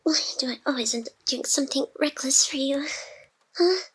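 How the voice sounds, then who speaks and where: A woman performs a young female AI hacker, wounded yet playful and devoted, in an intimate ASMR roleplay. Her voice sits high and girlish. She speaks in halting, breathy phrases that tease and often sink into whispers. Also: slight background noise, natural voice. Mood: hushed, pained.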